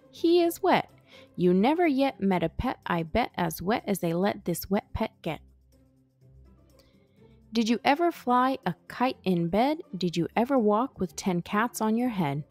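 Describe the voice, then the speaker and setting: A woman reading a rhyming children's picture book aloud in a playful, animated storytelling manner. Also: medium pitch, no room sound. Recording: music behind the voice. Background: background music.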